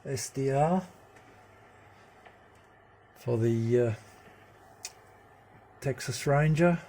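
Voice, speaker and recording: A man giving a casual, hands-on CB radio hobby demonstration in a halting, matter-of-fact way, recorded on a handheld phone. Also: mid-range voice, warm tone. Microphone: handheld phone camera. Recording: noticeable background noise, handheld phone recording.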